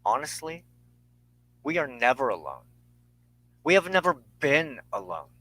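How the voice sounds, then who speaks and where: Male spiritual teacher, mid-range voice, sermon-style lecture delivery, solemn and measured with rhetorical phrasing. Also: medium pitch, big pitch swings, bright, forceful tone. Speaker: spiritual teacher and show host.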